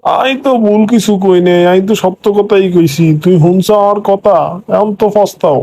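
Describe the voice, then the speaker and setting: A man's voice: high voice, steady tone, normal speed, dark tone, slight background noise, pitched above the performer's own voice, lightly acted. A man voicing an older husband in a comic cartoon, smugly mocking and nagging in a regional Bangladeshi Bengali dialect. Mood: smug, reproachful. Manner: mocking, I-told-you-so nagging. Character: older husband, smug, reproachful, needling his wife.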